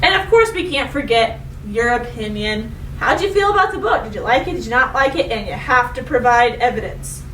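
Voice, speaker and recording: High-voiced woman teacher in a roomy recording. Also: lively intonation, brisk, hardly any pauses, fairly bright tone. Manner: instructive, addressing young pupils directly. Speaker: elementary school teacher. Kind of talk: children's read-aloud and lesson. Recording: roomy, distant mic with faint noise.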